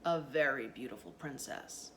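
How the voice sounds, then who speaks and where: A woman playing a stern, mysterious old grandmother in a storybook, speaking in a slow, deliberate, ominous way. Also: very deep voice, a bit bright, pitched below the performer's own voice. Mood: grave, mysterious. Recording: noticeable background noise.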